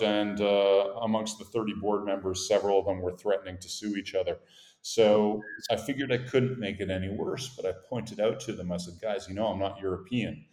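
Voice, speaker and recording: Low-voiced Canadian man in echoey room. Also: a little mellow. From grew up in Montreal, born in Boston. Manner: measured, articulate storyteller with occasional fillers. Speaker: guest, company founder and CEO. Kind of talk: interview conversation.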